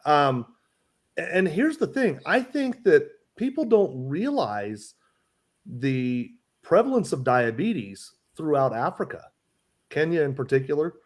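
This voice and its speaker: A man's voice: on the high side, big pitch swings, unhurried. Conversational male live-stream co-host. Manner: friendly, restarts sentences. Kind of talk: casual live-stream chat. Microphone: video call.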